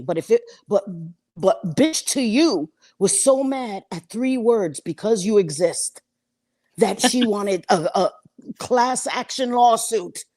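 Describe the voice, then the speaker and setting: A husky woman in her fifties, speaking in a mid-pitched voice. She co-hosts a gossip stream and talks bluntly, swearing freely and mocking her targets. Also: darkish tone. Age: 50s. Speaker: co-host. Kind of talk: casual gossip livestream chat.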